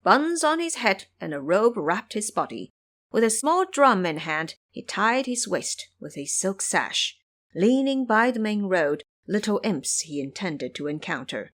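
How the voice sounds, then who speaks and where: A woman narrating an audio drama as a scripted storyteller, with a theatrical, expressive delivery. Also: mid-range voice, a little slow.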